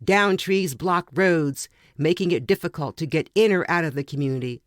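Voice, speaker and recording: A woman reading a first-person story aloud in a measured, dramatic way with deliberate pauses. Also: low voice, no room sound, studio quality. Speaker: podcast reader.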